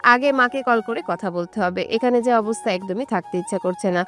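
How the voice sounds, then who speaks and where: A woman's voice: on the high side, normal speed, fairly bright tone, faint music behind. A woman reading a scripted romantic story in a dramatic narrating style.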